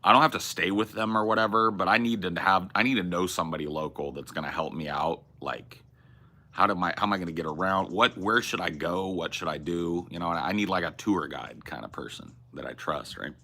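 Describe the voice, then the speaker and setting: A man with a deep, bright and forceful voice chats loosely on a livestream. He rambles and swears as he answers viewers, stumbling and restarting mid-thought, and talks. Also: a little fast, creaky. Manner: rambling, profane, restarts and self-corrections. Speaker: streamer host. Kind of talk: casual livestream chat reading viewer messages.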